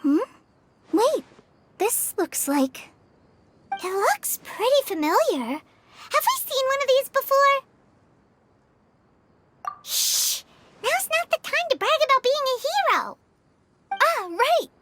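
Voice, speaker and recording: A woman voicing a tiny, childlike fairy companion in a video game, excitable and nosy with a squeaky voice. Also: takes it slowly, long pauses, a little hiss or hum, full character voice. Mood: curious. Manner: excitable, exclamatory. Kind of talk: video game cutscene acting. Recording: background music behind dialogue. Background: game music.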